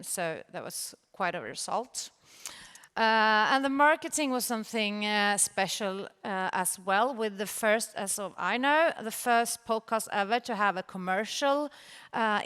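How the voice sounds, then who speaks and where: A woman's voice: mid-range voice, a bit bright, clear, resonant tone. A woman giving a conference presentation as a producer. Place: conference hall stage. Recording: reverberant hall, stage sound system.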